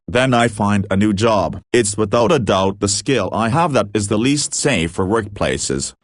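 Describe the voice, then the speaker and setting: A man narrating online posts aloud in a steady read-aloud manner, speaking each post in the first person. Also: fairly low pitch, no room sound, clean studio sound.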